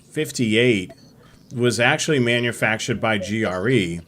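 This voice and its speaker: A middle-aged man hosting a live-streamed hobby show, chatting in a relaxed, rambling way with many fillers. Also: on the low side, a little hiss or hum. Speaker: host.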